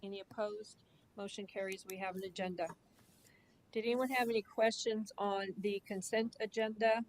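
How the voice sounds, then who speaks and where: A woman's voice: mid-range voice, fairly even intonation, normal speed, slight background noise. A woman chairing a school board meeting in a conference room, casual and joking as she runs the agenda. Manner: casual, plain-spoken and joking.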